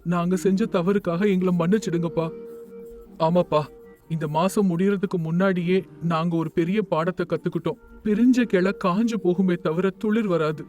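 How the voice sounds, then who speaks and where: A man playing a devoted, repentant son in an animated family story, speaking solemnly. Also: high voice, normal speed, close to an everyday voice. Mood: earnest remorse. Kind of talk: animated moral story dialogue. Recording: music under the voice.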